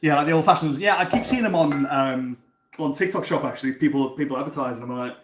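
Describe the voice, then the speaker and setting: A man's voice: a little high, fast, echoey room, a little hiss or hum. A man in his thirties from North Wales, chatting casually with viewers while he cooks on a live stream. He often repeats words and restarts his sentences. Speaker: cooking stream host. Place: home kitchen.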